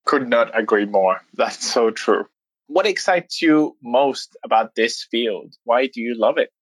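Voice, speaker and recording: A man's voice: mid-range voice, expressive, unhurried, no room sound, rough recording. A man hosting an interview, asking questions and responding with upbeat enthusiasm. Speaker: interview host.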